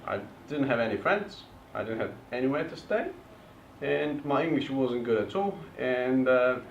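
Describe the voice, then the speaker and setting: A man's voice: medium pitch, lively intonation, moderate pace, creaky, slight background noise. Bulgarian-accented young male presenter, earnest plain delivery, distant mic in a reverberant function room. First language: Turkish. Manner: earnest, plain speech, sometimes broken grammar. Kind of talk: public talk telling his story. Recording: far-off, echoey, dull room recording.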